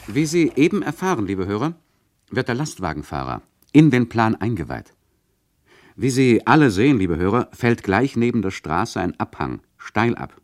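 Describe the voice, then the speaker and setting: An actor playing an ironic, mock-polite and condescending radio play narrator who addresses the listeners. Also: male voice, fairly low pitch, sing-song, moderate pace, no room sound, clean studio sound. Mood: condescending irony.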